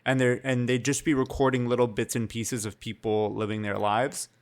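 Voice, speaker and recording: A young man from Canada co-hosting a podcast, with a medium-pitched voice. He speaks reflectively and pauses often to find his words, in relaxed casual chat. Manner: thoughtful, reflective, hesitant. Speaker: podcast co-host.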